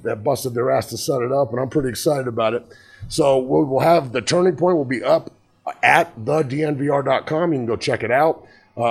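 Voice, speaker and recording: A man hosting a football show talks through the games in a blunt, offhand manner. His voice is raspy and gravelly, rough with fry and hoarse from yelling. The tone is husky and unpolished, in an everyday middle register. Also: mid-range voice. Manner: blunt, conversational, frequent restarts and swearing. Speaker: football show host, former player. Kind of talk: casual sports commentary.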